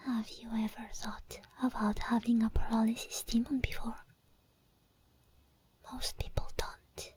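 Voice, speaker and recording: A woman voicing a possessive, seductive sleep paralysis demon in a breathy whispered ASMR roleplay, slow and intimate. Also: a little high, little pitch movement, darkish tone. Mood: taunting, intimate. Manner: slow, intimate, lingering delivery.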